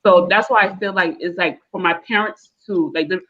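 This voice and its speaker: A woman's voice: fairly low pitch, moderate pace. A young woman with a Nigerian-American accent, talking casually as a panel guest, rambling with many fillers and restarts. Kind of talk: casual discussion. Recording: dull lo-fi sound.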